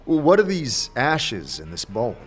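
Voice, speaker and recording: A man's voice: mid-range voice, wildly swooping pitch, slow pace, faint music behind. Terse, hesitant male adventurer played by an actor. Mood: hesitant, browsing. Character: reserved, polite, hesitant male adventurer customer. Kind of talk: audio drama acting.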